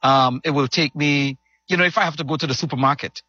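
A man from Trinidad with a Trinidadian accent, a pastor telling his story as a guest in animated, casual chat. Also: a little high, quick pace, breathy edge, dry recording, highs cut off. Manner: animated storyteller, laughing and acting out quotes.

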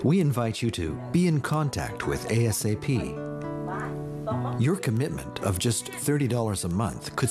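A man giving scripted narration for a charity documentary, earnest and appealing for donations. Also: on the low side. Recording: music bed under voice-over.

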